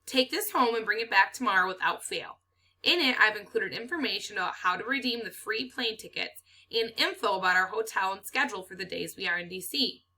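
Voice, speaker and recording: Woman reading as brisk, bright-voiced male quiz coach. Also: medium pitch, animated, rough recording. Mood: brisk, upbeat. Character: male school teacher coaching a quiz team, brisk, organized.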